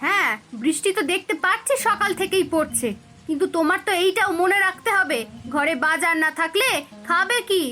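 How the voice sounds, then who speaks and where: An exasperated village housewife from a cartoon, acted by a woman, with a very high, bright voice. She nags, scolds and laments as a worn-out mother, with music playing behind her. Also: a little fast. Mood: nagging. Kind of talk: Bengali cartoon voice acting.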